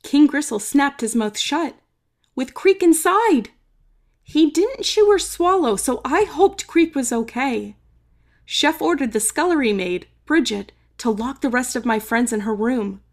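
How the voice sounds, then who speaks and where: A woman reading a children's story aloud expressively, with dramatic emphasis and some drawn-out words. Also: sits high, animated. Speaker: storybook reader. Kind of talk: expressive children's read-aloud, narrating in first person.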